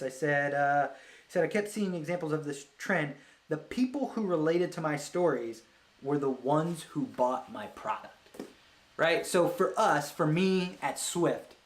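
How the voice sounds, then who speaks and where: A man hosting a business book read-along, explaining ideas casually and thinking aloud in a rambling way. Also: medium pitch. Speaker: host of a business book read-along show, web agency owner. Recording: roomy, echoey sound.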